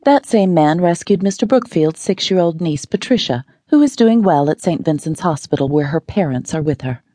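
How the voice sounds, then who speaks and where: An audiobook narrator voicing a female TV newscaster with a measured broadcast delivery. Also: medium pitch, lively intonation, dark tone, no echo, studio quality, close to an everyday voice. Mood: grave. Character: grave female TV newscaster, then cheerful. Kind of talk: audiobook character reading.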